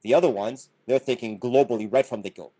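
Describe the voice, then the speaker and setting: A middle-aged man from Canada talks through his ideas as a podcast guest, with a mellow, dark tone. He is earnest and explanatory, often repeating a word as he restarts a sentence. His pitch sits in an ordinary middle range. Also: fast. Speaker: podcast guest, business founder. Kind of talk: casual podcast conversation.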